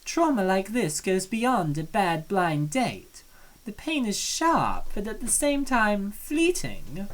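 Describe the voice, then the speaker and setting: A woman's voice: mid-range voice, big pitch swings, slow pace. A woman voicing a sinister, predatory female therapist from a comic dub, speaking in an ominous, formal and clinical way. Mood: coldly analytical. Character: sinister predatory female therapist, coldly analytical, hungry for prey. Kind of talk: dramatic comic-dub villain acting.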